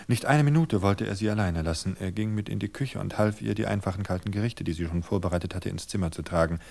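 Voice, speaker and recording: A man reading a suspense story aloud in a measured, tense narration. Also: on the low side, vocal fry, darkish tone.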